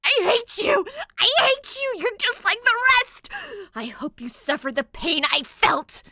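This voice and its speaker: A woman's voice: very high voice, sing-song, a little slow, bright, forceful tone, dry recording, studio quality, voice pushed up, exaggerated voice. A woman voicing a spoiled, spiteful young ghost bride in a demanding, tantrum-throwing manner for a fan fiction reading. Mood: furious, shrieking. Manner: shrieking, growling, demanding tantrum.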